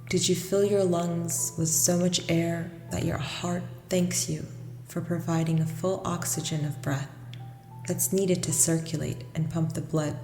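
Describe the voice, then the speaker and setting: A woman with a low voice guides a meditation over music, in long, washy reverb. She gives gentle, scripted instructions and leaves generous pauses between them. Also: flat delivery. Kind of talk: guided meditation, slow soothing scripted narration.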